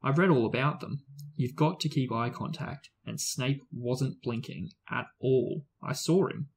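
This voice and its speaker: Un uomo che fa una ragazzina sapientona e insistente. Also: male voice, medium pitch, a little slow, husky. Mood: insistent. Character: clever, bossy schoolgirl, insistent.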